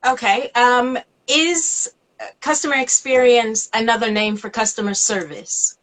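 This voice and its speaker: A woman's voice: fairly high pitch, steady tone, a little slow, a bit bright, low-quality recording. A woman hosting a casual interview, giving short acknowledgements and putting simple questions to her guest. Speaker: interviewer, host.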